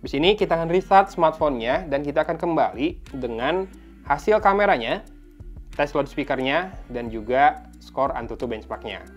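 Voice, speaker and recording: A man's voice: fairly high pitch, expressive, normal speed. Indonesian man reviewing gadgets over music. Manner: conversational, informal. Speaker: tech reviewer, video host. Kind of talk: casual gadget review commentary.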